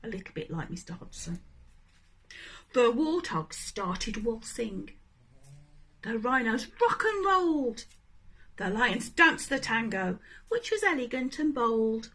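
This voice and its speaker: Woman, high-pitched, unhurried, expressive children's story reading, animated delivery. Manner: animated, deliberate, addressing young listeners. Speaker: storybook reader.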